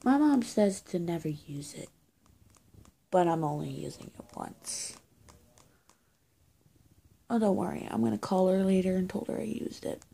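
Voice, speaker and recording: Slow, husky young woman in witch roleplay. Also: lowish voice, expressive, mellow. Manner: halting, casual, often dropping to near-whisper. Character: friendly young good witch, high-school girl. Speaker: roleplay video creator. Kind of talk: soft-spoken improvised roleplay, addressing the viewer. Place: small indoor room, plain walls. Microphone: phone or webcam at arm's length.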